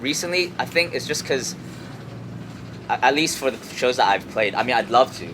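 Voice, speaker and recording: A young man from Hong Kong chatting casually as an interview guest, halting and slangy, often repeating words. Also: medium pitch, expressive. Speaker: music artist, interview guest. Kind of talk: casual chat. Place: outdoors on an urban rooftop. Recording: clear outdoor city traffic noise.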